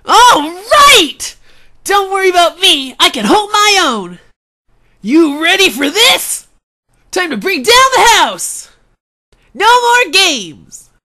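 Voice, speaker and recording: Woman voicing an excitable high-pitched young prince. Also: slow, exaggerated voice. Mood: excited, bold. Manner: excitable, earnest. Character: eager, plucky young prince, a boy. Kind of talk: video game character acting.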